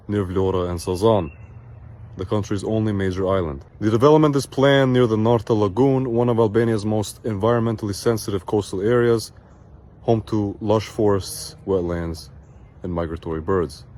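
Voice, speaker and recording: A man reads a scripted news segment in a steady, measured newsreader manner. His voice sits a little low, and the delivery stays even and composed from line to line. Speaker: news presenter.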